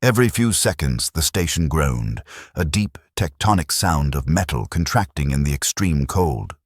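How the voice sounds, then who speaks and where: A man's voice: a bass voice, animated, normal speed, breathy edge, dry recording, studio quality. A man narrating a science fiction audiobook with dramatic storytelling delivery. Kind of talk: scripted sci-fi narration.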